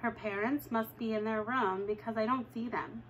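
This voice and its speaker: A young woman reading a children's novel aloud at home, with lively jumps in pitch when she reads dialogue. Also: normal speed, a little hiss or hum, poor audio. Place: living room at home, indoors.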